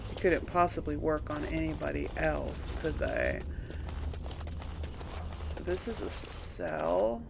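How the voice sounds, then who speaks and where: A woman's voice: low voice, big pitch swings, slow, vocal fry, long pauses, background noise. A woman giving casual, chatty let's play commentary, thinking aloud and asking herself questions as she goes. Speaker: video game streamer and series host.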